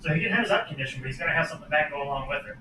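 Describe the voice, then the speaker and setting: A man at a tabletop game talks quickly in a dry, matter-of-fact way. He sits away from the mic, so his voice sounds distant. The room is echoey, and clear background noise runs under him. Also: on the high side, expressive. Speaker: player. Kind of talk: casual tabletop game chat.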